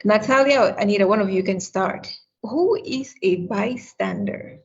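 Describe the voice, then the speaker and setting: A woman's voice: medium pitch, unhurried, gravelly, breathy edge, muffled recording. A woman who grew up in Cameroon, moderating a panel discussion over a video call and speaking earnestly. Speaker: webinar moderator.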